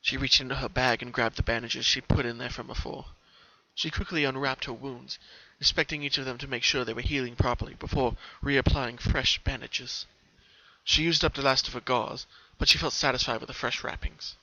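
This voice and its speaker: A man narrates a story in a dramatic, scripted reading style. His voice sits at a medium pitch with a sharp, bright edge. The sound is dull and lo-fi, like a home recording. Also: steady tone, brisk. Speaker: fan fiction narrator.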